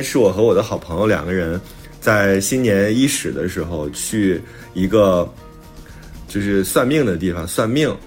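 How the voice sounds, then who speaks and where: A man speaking casually, as a guest author talking on a show. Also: lowish voice. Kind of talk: casual chat.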